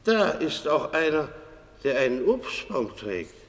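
An actor playing a kindly, reverent farmer on a jury of the dead, speaking reflectively in a radio drama. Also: male voice, a little high, big pitch swings, slow pace. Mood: reverent warmth. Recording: long hollow reverb.

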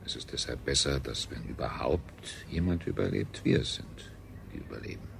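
An actor playing a grave, formal scientist in a science fiction radio play. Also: male voice, very deep voice, animated, normal speed, exaggerated voice. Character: grave, formal male scientist among survivors. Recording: clear background noise, 1970s radio production.

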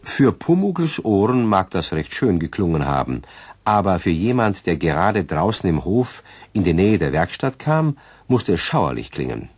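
A man with a husky voice playing the genial narrator of a children's radio play. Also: sits low, big pitch swings, moderate pace, a little mellow, no room sound, heavily acted. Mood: storytelling. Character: genial male storyteller of a children's tale.

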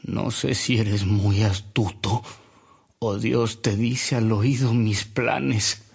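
An actor playing a frail, remorseful old man who narrates his past in a radio drama, slowly and reflectively, with a husky, gravelly voice. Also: fairly low pitch, steady tone, a little mellow, heavily acted. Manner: slow, reflective, with coughs and pauses.

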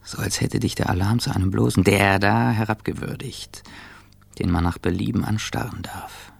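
Seething ex-athlete, radio drama inner monologue, husky, very gravelly, hushed, intense. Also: male voice, lowish voice, steady tone, normal speed, no room sound, full character voice. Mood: tense, hostile. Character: bitter injured ex-athlete man, seething, self-observing.

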